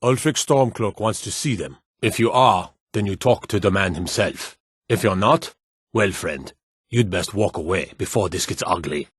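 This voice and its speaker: An actor playing a gruff, proud Nordic warrior and guard in a fantasy video game, speaking in a firm, emphatic, declamatory way. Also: male voice, sits low, normal speed, no room sound, studio quality. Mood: stern. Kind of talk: dramatic video game dialogue.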